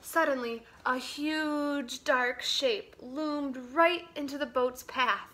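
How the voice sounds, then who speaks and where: A woman reading a children's picture book aloud as a teacher would, dramatically, dropping to a hush and swelling for emphasis. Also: high-pitched, slow, slight background noise. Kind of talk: expressive children's storybook read-aloud.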